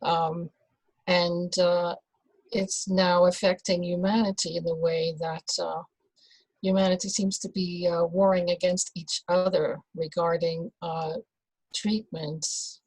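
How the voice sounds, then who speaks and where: A woman from the Netherlands, a doula, talking as an interview guest in a slow, hesitant way with many fillers and restarts. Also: on the low side, level tone, darkish tone, husky, no echo, low-quality recording. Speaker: guest, birth and rebirth doula. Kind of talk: casual conversational interview.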